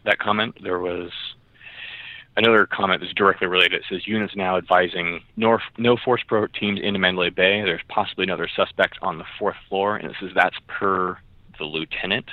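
A man's voice: sits low, fairly even intonation, a little fast, no room sound. A man co-hosting over a narrow call line, casually explaining and analysing in a tentative manner. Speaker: podcast co-host and researcher. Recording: muffled narrow phone-like line.

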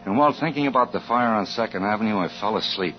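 An actor playing a troubled married insurance investigator, confessing haltingly in 1940s radio suspense style. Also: male voice, on the low side, natural voice. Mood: uneasy, confessional. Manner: halting, hesitant confessional narration. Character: troubled married insurance investigator recounting his story, anxious. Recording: muffled vintage radio recording with music.

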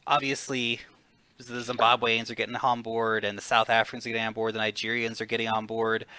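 A man interviewing a guest, animated and upbeat, stumbling over words now and then. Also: lowish voice, brisk, clean tone, no echo. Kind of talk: conversational interview.

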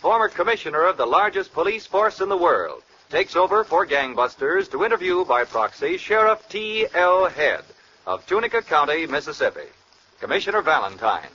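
A man's voice: on the high side, big pitch swings, moderate pace, sharp tone. A man announcing in a scripted 1940s radio style on an old lo-fi recording.

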